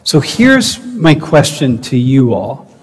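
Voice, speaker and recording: A man's voice: mid-range voice, sing-song, takes it slowly. A man, a professor, leading a discussion with his students and pressing them with rephrased questions. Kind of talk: lecture-hall conversation with students. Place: large lecture hall. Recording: echoey lecture hall.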